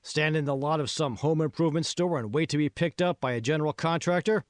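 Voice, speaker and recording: A man's voice: a little high, fast, no room sound, pitched above the performer's own voice, close to an everyday voice. Man acting a bossy, irritable foreman haranguing his crew. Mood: bossy, persuading. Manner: haranguing, coarse, impatient. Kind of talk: audiobook character dialogue.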